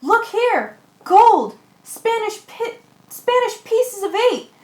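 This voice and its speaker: A woman's voice: very high voice, very animated, slow pace, heavily acted. A woman playing a bold, practical, commanding ship's mate in an amateur radio play. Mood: curious, determined. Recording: echoey room.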